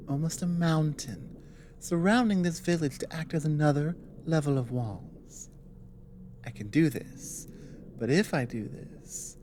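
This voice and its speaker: Slow, mellow, weary dragon woman, acted by a woman. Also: low voice, a little hiss or hum. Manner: measured, halting, earnest delivery. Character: weary, earnest dragon woman with magic, war ally. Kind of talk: ASMR audio roleplay.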